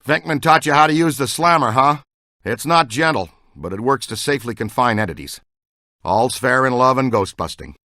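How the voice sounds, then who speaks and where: A man's voice: mid-range voice, moderate pace, bright, forceful tone, no echo, studio quality, natural voice. A man acting as an eager, talkative ghost-hunting character in a video game, earnest and full of technical jargon. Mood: upbeat, instructive. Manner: earnest, technical jargon, urgent call-outs.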